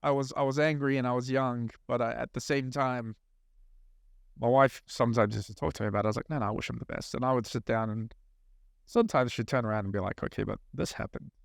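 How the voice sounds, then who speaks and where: A man in his thirties with a New Zealand accent speaks at a middle pitch with a gravelly edge, earnest and reflective as he asks probing questions. The recording is close and dry, right at the mic. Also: steady tone, fast, no room sound. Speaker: podcast host. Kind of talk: casual interview chat.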